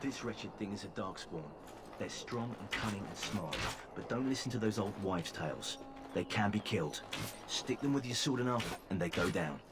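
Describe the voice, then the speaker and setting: An actor playing a grim veteran soldier who gives blunt warnings. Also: male voice, fairly low pitch, expressive, moderate pace, very noisy, lightly acted. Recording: game dialogue over camp ambience.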